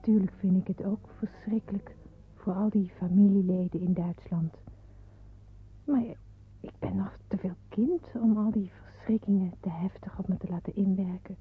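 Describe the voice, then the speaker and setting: A narrow, faintly noisy vintage radio recording carries a young girl played by a woman. She narrates her own wartime youth as a refugee in a radio play, at a middling pitch. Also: lightly acted.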